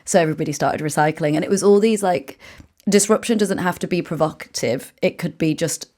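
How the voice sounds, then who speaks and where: A woman from England, a guest founder and author, chatting in an interview with brisk, lively storytelling and frequent "like" fillers. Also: lowish voice. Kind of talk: casual interview chat.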